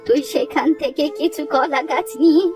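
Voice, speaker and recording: A high-pitched, kindly old village grandmother from a cartoon, acted by a woman. She talks in a homely, motherly, exclamatory way. The voice sits a little away from the mic with music behind it. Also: moderate pace, recorded from a distance, close to an everyday voice. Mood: consoling. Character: kind old village granny, sheltering and advising. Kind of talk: Bengali moral-story cartoon dub.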